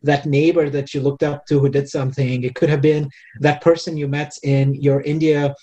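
A man's voice: on the high side, level tone, normal speed, mellow, muffled recording. A man whose first language is Hindi, a venture investor answering podcast interview questions in a thoughtful way, with many fillers and restarts. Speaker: venture capital investor, guest.

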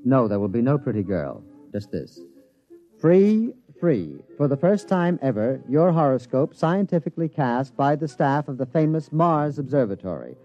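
An actor playing an earnest, bookish scientist with formal, precise diction in an old-time radio comedy. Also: male voice, mid-range voice, big pitch swings, normal speed, mellow, soft background music, lightly acted. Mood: eager. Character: earnest, bookish male scientist, baffled but stubbornly enthusiastic gambler. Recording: muffled vintage radio recording.

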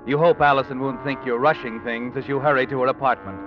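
A man's voice: mid-range voice, fairly even intonation, quick pace, close to an everyday voice. A man acting as a knowing narrator of an old radio suspense drama, slyly accusing the schemer he addresses in a dramatic manner. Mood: ominous. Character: knowing male narrator needling a schemer in second person. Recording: dull old radio recording with loud brass music.

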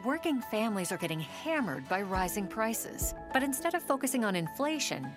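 A woman reading a scripted political advertisement in an urgent, persuasive manner. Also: mid-range voice. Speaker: ad announcer. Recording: voice-over with music bed. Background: music.

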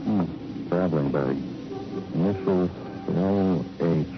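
An actor playing a hard-boiled police detective who narrates in a poetic, clipped, dramatic way in a 1950s radio crime drama. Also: male voice, low voice, slow, very noisy. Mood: tense, brooding. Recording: muffled old radio recording, loud music behind.

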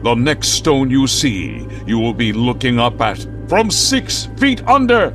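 A man's voice: medium pitch, sing-song, measured pace, faint music behind. A man playing a grandiose, righteous caped vigilante in old-time radio drama style, with pompous, melodramatic proclamations. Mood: righteous fury.

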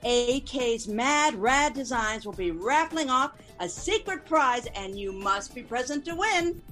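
A woman's voice: fairly high pitch, expressive, a little slow, a bit bright, soft background music. A woman playing a showy event announcer and reporter, barker style, in a scripted radio drama.

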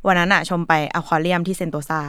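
Young woman, bright forceful voice, smooth and clear, animated casual podcast storytelling. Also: fairly low pitch, fast, no room sound, clean studio sound. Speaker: podcast co-host.

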